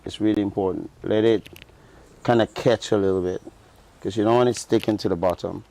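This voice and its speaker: A man's voice: lowish voice, fairly even intonation, fairly quick, creaky, mellow. A man with a partly English accent hosting a cooking show as a chef, giving a chatty step-by-step demonstration and often checking in with the viewer. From Guyana. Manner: chatty, keeps checking in with "right?".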